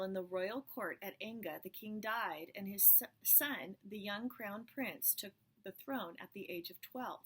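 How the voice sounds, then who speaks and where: A woman reading a picture book aloud, gently pointing out the pictures as she goes. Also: mid-range voice, husky. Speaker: storyteller.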